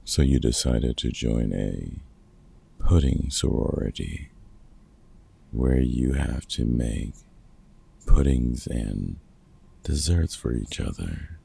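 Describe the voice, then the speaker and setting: Casting: gruff older vampire hunter played by a man, fatherly and wry, rock-bottom pitch, heavily husky, for comfort roleplay audio. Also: lively intonation, takes it slowly, pauses often, dark tone, heavily acted. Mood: amused, teasing. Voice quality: very gravelly, husky. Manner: dry, teasing, hesitant with unfamiliar slang. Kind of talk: ASMR roleplay audio drama, one-sided conversation.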